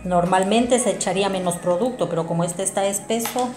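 A woman's voice: mid-range voice, brisk, a little mellow. Woman explaining a craft in a roomy space. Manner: explanatory, addressing viewers directly. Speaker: craft tutorial presenter. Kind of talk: informal hands-on tutorial narration. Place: small domestic room indoors. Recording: roomy echo, music behind.

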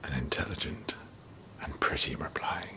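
An actor playing a proud, sly, suave courtier and poet in a radio drama, with a smooth, deliberate, courtly manner. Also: male voice, a bass voice, sharp tone, whispered, full character voice, low-quality recording. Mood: seductive.

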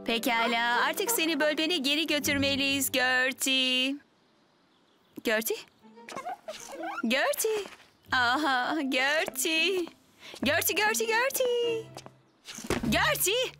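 A high, expressive cartoon animal keeper. She is fretful and easily flustered, a caring lady speaking for a children's show. Music plays behind her voice. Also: takes it slowly, long pauses. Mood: searching. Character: flustered woman animal keeper searching for her lost pet.

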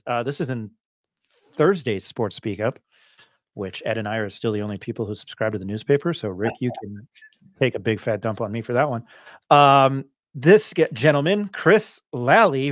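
A man's voice: medium pitch, no echo. A middle-aged man hosts a casual sports podcast. His talk is slangy and irreverent, in a mid-range voice. The sound is dry and close, from a home studio. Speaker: podcast host. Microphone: close podcast mic on boom arm.

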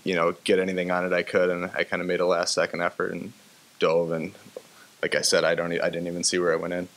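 A young man, a college hockey player, answering questions at a postgame press conference at a table microphone, low-key and halting with many fillers. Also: monotone, a bit bright. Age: young adult. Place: press room with sponsor backdrop.

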